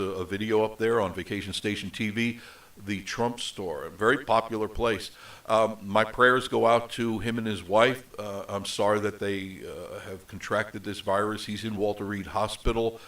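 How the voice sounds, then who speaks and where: Middle-aged male host, nasal, lowish voice, loose unscripted rambling, set back from the mic. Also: fairly even intonation, normal speed, a bit bright, distant mic. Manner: rambling, with many fillers and restarts. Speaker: host of a live travel show. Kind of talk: unscripted casual live chat.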